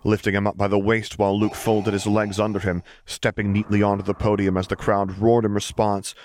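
A man's voice: lowish voice, level tone, fairly quick, a little mellow, a little hiss or hum. A man narrating a fiction audiobook from a script.

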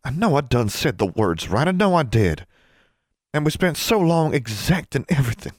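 A man's voice: medium pitch, sing-song, moderate pace, dry recording, studio quality, voice pushed up. A man voicing an anxious, earnest backwoods young girl in a storybook, pleading. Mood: distraught, pleading. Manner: distraught, pleading, rustic dialect.

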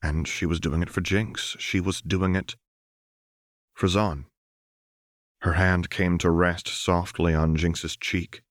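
A man narrating scripted fiction as an audiobook reader, in a soft, measured, solemn manner. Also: deep voice, no echo, clean studio sound.

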